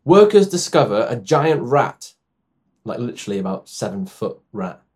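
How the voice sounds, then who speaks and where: A man's voice: medium pitch, very animated, normal speed, darkish tone. A man hosts a casual comedy podcast with a loose, joking delivery. He laughs at himself, hedges with little apologies, and lets sentences trail off. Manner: joking, self-deprecating, trailing off. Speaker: podcast host.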